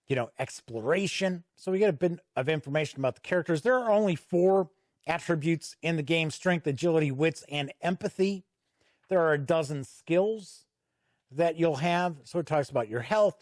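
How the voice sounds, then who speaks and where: Higher-pitched man giving a casual review. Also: darkish tone, no echo, studio quality. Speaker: tabletop game reviewer and host. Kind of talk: casual review commentary, paging through a boxed game.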